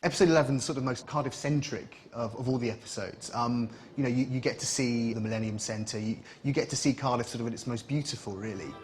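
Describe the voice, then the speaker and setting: A man's voice: mid-range voice, fast, hardly any pauses. A man speaking in an interview, hesitant and full of fillers.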